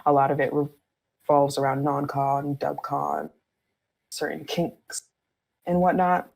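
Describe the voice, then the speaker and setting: A woman with a low, husky voice and plenty of vocal fry talks casually on a podcast. She explains her views candidly, in loose, informal phrasing. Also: fairly even intonation, takes it slowly, a little mellow.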